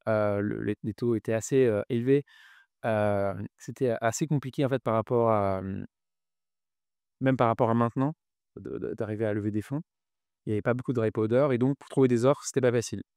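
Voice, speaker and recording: Guy in his 30s chatting as an interview guest, clear smooth voice, lots of ums and repeats, very dry room. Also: medium pitch, brisk, a little mellow, dry recording. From New Caledonia. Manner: hesitant, frequent fillers and repeated words. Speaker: podcast guest, finance executive. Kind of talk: conversational interview answers.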